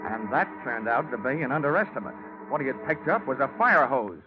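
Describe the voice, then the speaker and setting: An actor playing a wisecracking, hard-boiled American private investigator in vintage radio drama, with a wry, punchy, slangy delivery. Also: male voice, medium pitch, expressive, brisk, close to an everyday voice. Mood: tense, dramatic. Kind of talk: hard-boiled 1940s-50s radio narration and acting. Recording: dull vintage radio recording with music.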